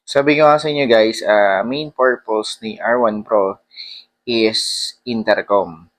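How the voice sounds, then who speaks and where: A man with a fairly low voice talks in a casual vlog style. He reviews informally, rambling a little and pausing on hesitations as he mixes in English. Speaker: motorcycle vlogger.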